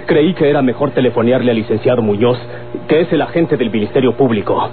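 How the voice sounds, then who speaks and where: A man's voice: mid-range voice, over music, lightly acted. A Mexican man playing a sober, respectful younger man reporting to his boss in an old radio drama. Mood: measured. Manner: measured, respectful. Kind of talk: Mexican golden-age radio serial acting. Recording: noisy, phone-like old radio recording.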